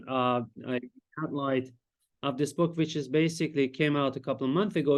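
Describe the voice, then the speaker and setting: A man from Iran, a Farsi speaker, presenting his research as a psychiatrist in a lecture and pausing often to search for words. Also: mid-range voice, clean tone. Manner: explanatory, searches for words with frequent hesitations.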